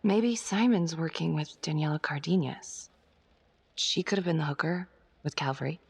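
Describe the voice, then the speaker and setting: Casting: driven female detective for a game drama, gravelly and husky, terse, suspicious, naturalistic. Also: normal speed, exaggerated voice. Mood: brooding, analytical. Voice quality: low, husky, creaky. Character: driven, suspicious female police detective on a serial killer case.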